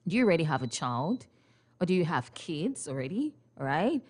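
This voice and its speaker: A woman's voice: low voice, sing-song, moderate pace, a little mellow, husky. A young Nigerian woman hosting a radio talk show, playful and chatty, with frequent laughs and questions for her listeners in a studio. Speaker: radio host. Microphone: studio microphone.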